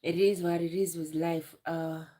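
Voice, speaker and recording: A young woman on a live stream, tearful and emotional, talking in halting phrases and repeating herself. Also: low-pitched, little pitch movement, dark tone. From Uganda. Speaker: livestreamer.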